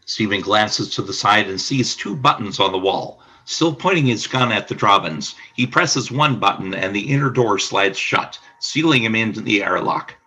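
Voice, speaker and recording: A man narrates a scripted radio drama. His voice is fairly low and rough-edged, with a bright, forceful tone. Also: expressive, moderate pace, few pauses, low-quality recording.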